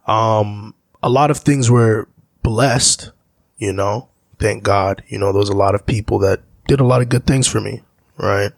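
Gravelly, husky man telling stories. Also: on the low side, steady tone, unhurried, dry recording. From Zambia. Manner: rambling, reflective storytelling. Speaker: musician guest. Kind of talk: casual interview talk.

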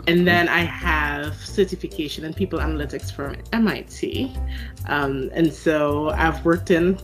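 A woman's voice: low-pitched, measured pace, faint music behind. A woman from Trinidad and Tobago with a Trinidadian accent, speaking articulately as an interview guest and laughing easily. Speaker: guest, people analytics expert. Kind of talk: interview answers.

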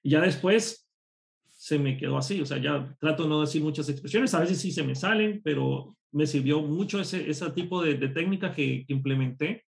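Gravelly man telling stories, higher voice. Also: moderate pace. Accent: none, a neutral Spanish. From Costa Rica. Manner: lively anecdotal storytelling. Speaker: programmer and course instructor, guest. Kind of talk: casual storytelling interview.

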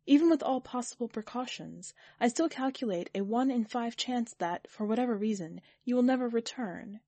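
Woman voicing a calm, grave machine superintelligence. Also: a little high, moderate pace, no room sound, voice pushed up. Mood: grave, measured. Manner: formal, even delivery.

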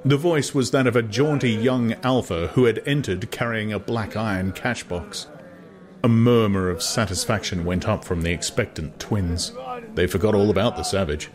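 Man, lowish voice, audiobook narrator, scripted literary narration, dramatized reading. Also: normal speed.

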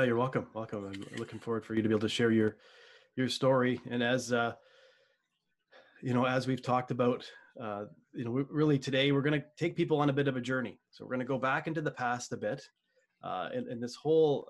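A man hosting a podcast interview, asking long, rambling questions full of fillers and restarts. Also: medium pitch. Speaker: podcast host.

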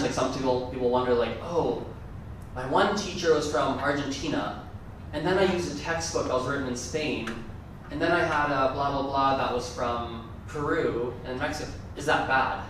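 A man's voice: mid-range voice, fairly bright tone, slight background noise. Chatty man speaking in an echoey hall. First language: Gujarati. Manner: chatty, rambling, self-correcting. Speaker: conference speaker. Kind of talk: casual conference talk. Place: large conference room. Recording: echoey hall, mic from far off.

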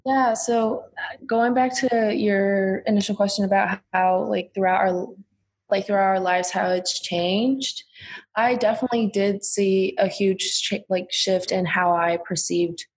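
Young woman chatting, medium-pitched voice. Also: fairly even intonation. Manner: informal, halting with frequent "like". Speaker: podcast participant. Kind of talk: casual personal storytelling.

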